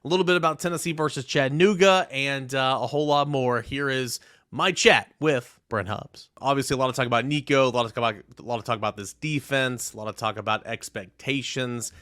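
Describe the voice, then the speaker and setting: Sports podcast host, close dry sound. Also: male voice, medium pitch, fairly quick, fairly bright tone, no echo. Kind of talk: conversational show intro and interviewing.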